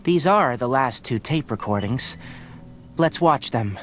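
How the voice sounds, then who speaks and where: A thoughtful game investigator with a very low voice for a woman. The delivery is deliberate and pondering, yet lively in its rise and fall. Music plays clearly behind it. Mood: pensive. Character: thoughtful, measured investigator examining old recordings. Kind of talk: video game dialogue.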